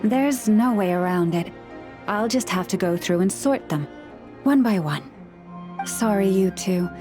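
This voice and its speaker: Game librarian, gracious and patient woman , played by a woman, mid-range voice, clear game music behind. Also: mid-range voice, a little slow, lightly acted. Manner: calm, polite, softly formal. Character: gentle, courteous woman librarian and relic keeper who talks to animals. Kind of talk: video game voice acting. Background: game background music.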